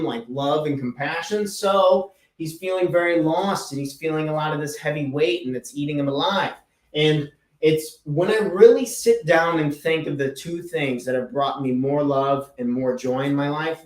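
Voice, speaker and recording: Earnest man in an echoey room. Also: on the high side. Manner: earnest, emphatic, repeats key words. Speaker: podcast host and life coach. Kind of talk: casual motivational monologue.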